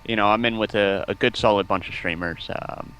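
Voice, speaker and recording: Low-voiced man in casual conversation. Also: brisk, a bit bright, a little hiss or hum, low-quality recording. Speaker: interview guest. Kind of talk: casual chat, recounting.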